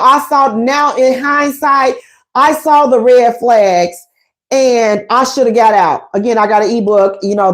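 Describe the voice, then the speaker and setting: A woman, hosting a casual relationship advice talk, emphatic and conversational, repeating her points. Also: a little high.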